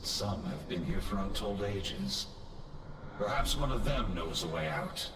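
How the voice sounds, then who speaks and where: A man's voice: low voice, big pitch swings, a little slow, noisy background. A man playing a grim, commanding undead knight in a fantasy game, stern, imperious and theatrical. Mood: grave. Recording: echoey, distant, loud game music behind.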